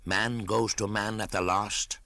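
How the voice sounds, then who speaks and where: A man voicing a huge, cold-blooded, wise old snake, speaking in a slow, solemn, drawn-out manner for a storybook. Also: on the low side, fairly even intonation, pushed and bright, no echo, voice pushed up.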